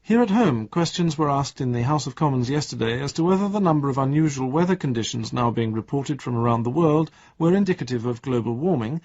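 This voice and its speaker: An actor playing a radio newsreader, delivering a formal, measured and impersonal bulletin in a drama. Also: male voice, medium pitch, animated, darkish tone, highs cut off. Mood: sober, neutral. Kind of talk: formal broadcast news reading.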